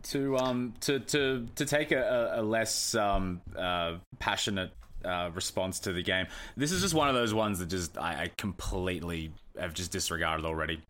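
A man's voice: sits low, moderate pace, a bit bright. A man from Australia co-hosting a casual podcast, rambling with many ums and likes. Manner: rambling, lots of fillers. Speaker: podcast co-host. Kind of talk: casual chat.

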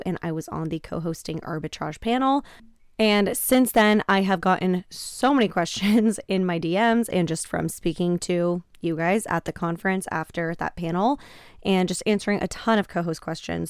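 A woman podcast host speaks in a casual, emphatic way, as if advising her listeners. Her voice sits a little low and sounds slightly croaky. The recording is dry, with very little room sound. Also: dry recording. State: slightly raspy, croaky voice after a conference. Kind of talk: casual solo podcast talk, advice.

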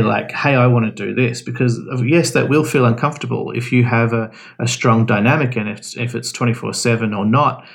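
A man's voice: medium pitch, gravelly. A man, a podcast guest, giving casual, explanatory advice with frequent restarts. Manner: relaxed, explanatory, many restarts. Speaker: guest answering questions.

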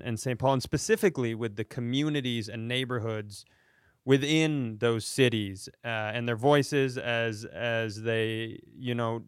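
Clear-voiced man co-hosting a podcast. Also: medium pitch, no echo, clean studio sound. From Minnesota, US. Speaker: podcast co-host. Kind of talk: casual spoken podcast talk.

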